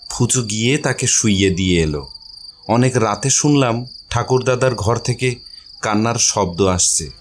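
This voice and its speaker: A man's voice: fairly low pitch, slight background noise. A man reading a literary story aloud as its narrator, in a scripted narration style.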